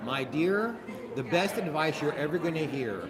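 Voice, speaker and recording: A flamboyant older man chatting casually as a festival host, theatrical and self-mocking, his voice a bit deeper than usual today. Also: lively intonation, normal speed, a loud crowd. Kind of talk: casual chat on a livestream.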